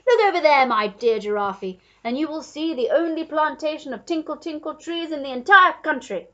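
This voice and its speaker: A woman voicing a grand, exuberant, generous male Duke in a storybook, with loud, emphatic, aristocratic delivery. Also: high voice, moderate pace, lo-fi sound, pitched above the performer's own voice.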